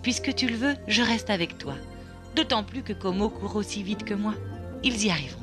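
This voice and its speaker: A woman voicing a caring female sled dog in a French-dubbed cartoon, gentle and soothing. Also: medium pitch, expressive, fairly quick, close to an everyday voice. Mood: gentle, giving in. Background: music.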